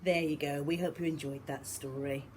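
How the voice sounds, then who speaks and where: A woman reading a children's storybook aloud in an animated, dramatic way. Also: low-pitched, moderate pace, far from the mic, slight background noise. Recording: echoey room.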